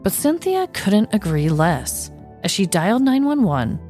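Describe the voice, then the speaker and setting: A woman's voice: medium pitch, big pitch swings, unhurried, darkish tone, soft background music. A woman co-hosting a podcast, telling a story and talking to listeners. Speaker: podcast co-host. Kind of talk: scripted storytelling narration, then casual chat.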